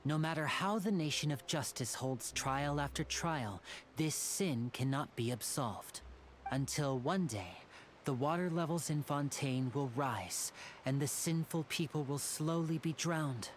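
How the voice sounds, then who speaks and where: A woman's voice: deep voice, unhurried, slight background noise. A woman playing a suave, courteous young male stage magician in an anime video game, polished and theatrical. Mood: grave, explaining.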